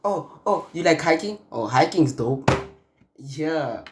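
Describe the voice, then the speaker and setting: A man's voice: fairly high pitch, animated, slow, noisy background. A man hosting a casual reaction video, talking in a slangy, laid-back way and often checking in with his audience. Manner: slangy, laid-back, frequent "you feel me".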